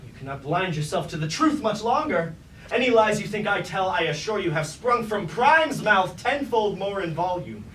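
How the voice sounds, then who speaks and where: Guy doing boastful sneaky robot henchman on stage, high and theatrical, mic far off. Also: big pitch swings, moderate pace, fairly bright tone. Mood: taunting. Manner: theatrical, florid, self-important. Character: treacherous, boastful, fawning robot lieutenant. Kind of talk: staged reading of a mock Greek tragedy. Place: indoor staged reading at a university. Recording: roomy, distant stage recording with light noise.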